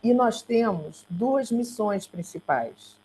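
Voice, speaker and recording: A woman with a Brazilian Portuguese accent gives a slide presentation on an online call, speaking haltingly and correcting herself as she goes. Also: fairly high pitch, animated, dark tone. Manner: halting, self-correcting delivery. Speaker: research centre coordinator giving a talk. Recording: noisy online call with hum.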